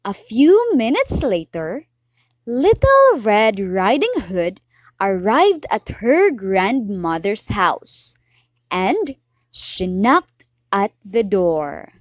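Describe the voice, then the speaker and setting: A woman's voice: medium pitch, big pitch swings, drawn-out words, dry recording. A woman narrating a children's fairy tale from a script in a dramatic storybook style.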